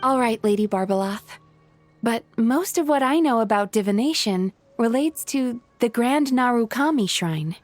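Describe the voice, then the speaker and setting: An actress playing a gentle, polite, thoughtful young professional woman in a video game. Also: a little high, expressive, normal speed, natural voice. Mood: hopeful, polite. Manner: polite, thoughtful, soft-spoken. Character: gentle, polite young female psychologist and aspiring writer. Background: music.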